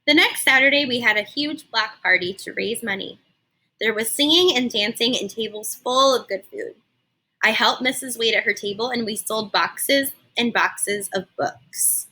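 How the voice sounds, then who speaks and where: A woman reading a children's story aloud like a teacher, animated and explaining things simply for young listeners. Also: on the high side, low-quality recording. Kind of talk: expressive children's read-aloud with explanatory asides.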